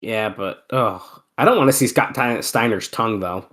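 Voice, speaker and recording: A man's voice: lowish voice, normal speed. A man who is sick with a cold, co-hosting a podcast and casually calling the moves of an old wrestling match while bantering with his co-host. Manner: relaxed play-by-play mixed with banter. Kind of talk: casual wrestling commentary chat.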